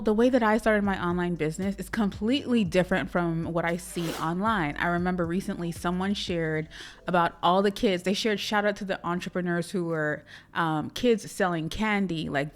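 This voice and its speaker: A woman from Trinidad and Tobago with a Trinidadian accent, talking to camera in a casual, encouraging advice vlog for her channel. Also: fairly low pitch. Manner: chatty, encouraging, talks straight to the viewer. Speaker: YouTuber and business coach.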